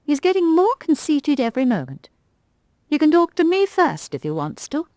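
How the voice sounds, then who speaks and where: A woman voicing a bold, cheerful, motherly rag doll in a high, bright voice. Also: wildly swooping pitch, moderate pace, no echo, clean studio sound. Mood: chatty.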